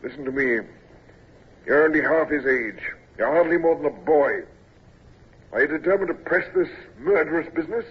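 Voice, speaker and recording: A bluff husky naval lieutenant. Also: male voice, fairly high pitch. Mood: grave. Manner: bluff, coaxing, brisk. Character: hearty senior male naval lieutenant. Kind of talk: 1940s radio acting. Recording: tinny phone-like old radio recording.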